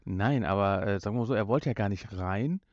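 A man's voice: on the low side, fairly quick, a little mellow, no room sound. Male co-host for casual banter, playful interjections, dry slightly lo-fi recording. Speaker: podcast host. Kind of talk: casual, joking podcast chat. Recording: slightly dull, lo-fi sound.

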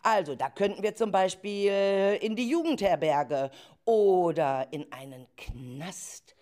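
A woman's voice: mid-range voice, takes it slowly, dark tone, close to an everyday voice. A woman playing an enthusiastic leader of a group of schoolchildren in an amateur radio play, talking to them in simple, encouraging phrases.

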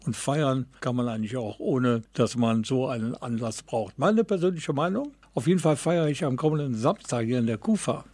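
A man's voice: mid-range voice, normal speed, few pauses, darkish tone, no room sound. Jovial older male radio host, dry booth. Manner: jovial, chatty banter. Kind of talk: radio show moderation. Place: radio studio. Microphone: studio mic.

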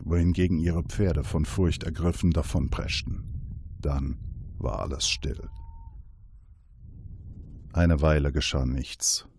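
A man's voice: extremely low pitch, slight background noise. A man narrating a scripted fantasy audio drama as its storyteller. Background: soft music.

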